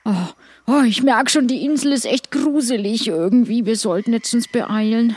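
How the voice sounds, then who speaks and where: A woman's voice: on the high side, moderate pace, a little mellow, no echo. A woman playing a role-playing game, chatting casually and improvising in character.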